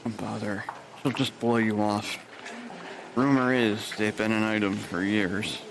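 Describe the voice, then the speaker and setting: A man's voice: on the low side, fairly even intonation, a little slow, background noise. An actor playing an easygoing, wry married male nurse in an audio drama. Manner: laid-back, wry.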